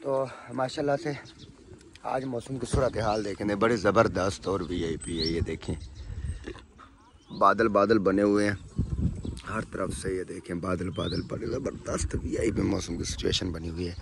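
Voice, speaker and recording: A man's voice: fairly low pitch, normal speed, creaky, background noise. Chatty young man vlogging outdoors. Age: young adult. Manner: chatty, affectionate, teasing. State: sore throat and cold. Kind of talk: casual vlog chat. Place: rural village outdoors. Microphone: phone at arm's length.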